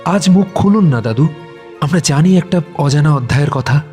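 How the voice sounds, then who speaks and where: A man's voice: a little high, expressive, moderate pace, a little mellow, close to an everyday voice. A man playing an inquisitive, respectful young sleuth in an audio drama, asking earnest, probing questions. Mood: urgent, curious. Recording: music behind the voice.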